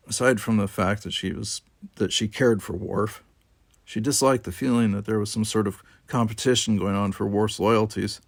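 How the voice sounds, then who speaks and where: A man's voice: on the low side, dry recording, clean studio sound. A man reading an adventure novel aloud as dramatic, scripted narration. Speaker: audiobook reader.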